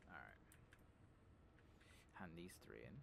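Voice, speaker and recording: Low, creaky, soft-spoken man. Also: lively intonation, takes it slowly. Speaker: game streamer. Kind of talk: soft-spoken ASMR gameplay commentary with whispering. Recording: noisy with clicking and game ambience.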